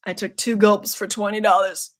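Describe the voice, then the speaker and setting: A woman's voice: mid-range voice, little pitch movement, moderate pace. A young woman hosting a live stream, chatting casually with her viewers, playful and teasing, laughing often. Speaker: streamer hosting a horror trivia night.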